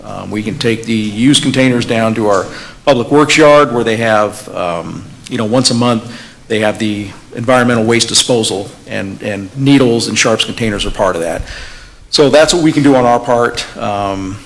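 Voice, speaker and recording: A man's voice: on the low side, normal speed, poor audio. A man who is a police officer, firmly answering questions at a public workshop. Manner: direct, firm advice.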